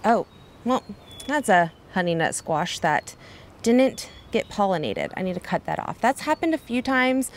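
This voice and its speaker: A woman's voice: medium pitch, sing-song, measured pace, a little hiss or hum. A young woman chatting enthusiastically on a tour of her backyard garden, filmed outdoors on a phone. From Kansas. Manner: enthusiastic, chatty, exclaims often. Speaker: garden vlogger. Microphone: handheld phone camera.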